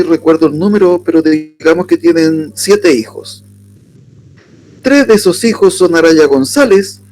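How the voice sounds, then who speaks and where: Chilean guy giving a talk, asks the room stuff, kinda higher voice. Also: darkish tone, a little hiss or hum, low-quality recording. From southern Chile. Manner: asks the audience questions, unhurried explaining. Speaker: workshop lecturer. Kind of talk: lecture on surnames.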